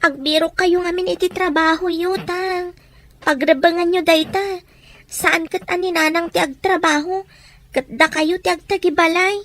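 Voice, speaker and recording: A young Ilocano daughter in a radio drama. Her voice sits extremely high, and she speaks earnestly and pleadingly, worried for her siblings. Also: fairly even intonation, moderate pace, a bit bright. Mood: earnest, concerned.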